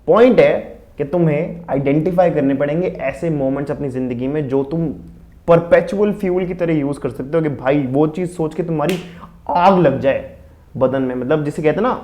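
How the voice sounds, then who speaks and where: Fast-talking man, motivational. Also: a little high. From India. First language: Hindi. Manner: energetic, switches between English and Hindi mid-sentence. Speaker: motivational language coach. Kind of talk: motivational advice talk.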